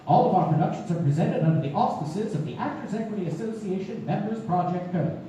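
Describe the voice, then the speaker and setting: In an echoey theater, a woman announcer reads scripted promotions from a distance. She is polished and lively in pitch, with a mellow tone. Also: fast. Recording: echoey theater from far off, faint noise.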